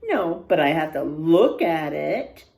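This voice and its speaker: A woman voicing a bossy older sister who teases her younger brother loudly in a storybook read-aloud. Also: low voice, very animated, slow, gravelly, voice pushed down, heavily acted. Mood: taunting.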